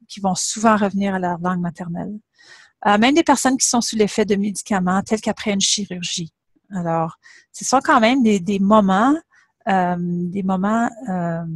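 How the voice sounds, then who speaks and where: A woman's voice: mid-range voice, no room sound. A middle-aged woman, a university professor giving a webinar presentation and setting out her points in order. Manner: explanatory, measured, lays points out in order.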